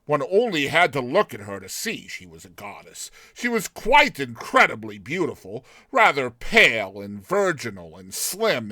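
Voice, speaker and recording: A man acts out a lovestruck young man from a classic storybook, effusive and impatient. The voice is fairly high and swings widely in pitch. Words come with bright, dramatic emphasis. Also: no room sound, full character voice. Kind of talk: dramatized short story reading.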